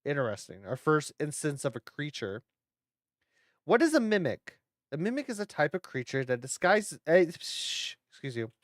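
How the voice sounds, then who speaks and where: A man's voice: mid-range voice, no echo, clean studio sound. A man hosting a horror watch-along, giving casual reaction commentary with playful, rambling asides.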